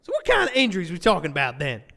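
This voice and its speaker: A man's voice: high voice, wildly swooping pitch, creaky, pushed and bright, full character voice. A man voicing an exuberant, slangy dude who runs a potion shop in a fantasy cartoon. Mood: upbeat, hyped. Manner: exuberant, drawn-out slang delivery. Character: hyped-up laid-back dude potion shopkeeper.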